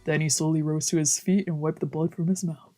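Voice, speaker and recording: A woman streamer reading a visual novel aloud with casual, excitable, sweary reactions. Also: low-pitched, fairly quick, soft background music. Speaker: let's play streamer.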